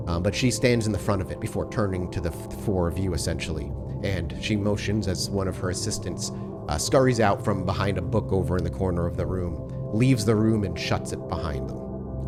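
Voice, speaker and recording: A man working as game master, improvising descriptive narration for a tabletop horror role-playing game. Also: deep voice.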